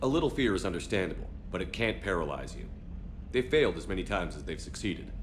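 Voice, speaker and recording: A man's voice: deep voice, very animated, fast, a little hiss or hum. A man playing a steadfast space military commander in a video game, speaking in a firm, direct, resolute way. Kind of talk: video game dialogue acting.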